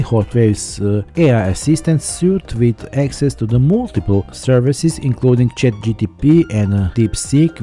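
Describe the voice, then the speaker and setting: A man reads a scripted tech review in a very dark, soft-edged voice at a medium pitch. Faint music plays behind his narration.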